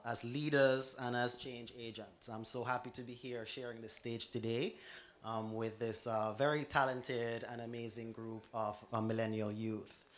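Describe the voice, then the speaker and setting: A man moderating a conference panel, speaking in a measured way with fillers into a handheld mic. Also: mid-range voice. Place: conference room with audience.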